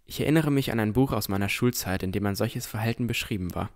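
A man's voice: on the low side, quick pace, lightly acted. A man playing a cautious, easily amazed boy who narrates his own adventure in a scripted fantasy audio drama.

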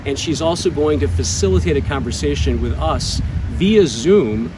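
An American man in his sixties speaking as a bishop, giving a pastoral message in a measured, earnest way with some hesitations. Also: a little high, expressive. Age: 60s. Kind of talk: scripted pastoral announcement to camera. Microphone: lapel mic. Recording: clear outdoor traffic and ambient noise.

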